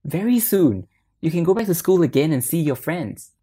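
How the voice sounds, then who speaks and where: A woman voicing a gentle father who coaxes and soothes his young son in a storybook reading. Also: low-pitched, expressive, moderate pace, slightly rough, pitched above the performer's own voice. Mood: reassuring. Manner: soothing, persuasive.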